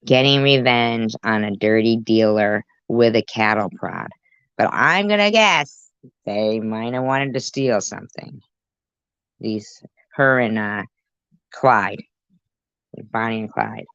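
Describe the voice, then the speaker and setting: A woman's voice: rumbling low, fairly bright tone, clean tone, dry recording, dull audio. A woman reacting to a clip with dry, sarcastic asides. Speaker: commentary YouTuber.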